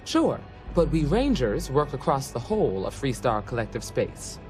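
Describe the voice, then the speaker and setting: Plainspoken frontier space ranger, music behind. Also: female voice, very deep voice, big pitch swings, moderate pace. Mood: earnest, matter-of-fact. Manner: folksy, plainspoken, earnest. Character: seasoned, earnest female space frontier ranger recruiter. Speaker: recruiting officer. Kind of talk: video game character dialogue. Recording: game audio with loud music bed.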